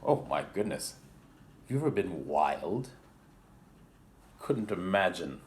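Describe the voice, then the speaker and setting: A man's voice: sits low, big pitch swings, takes it slowly, a little mellow, a little hiss or hum. A man hosting a children's picture book read-aloud, speaking with exaggerated, theatrical politeness and chatty asides. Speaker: library storyteller and host.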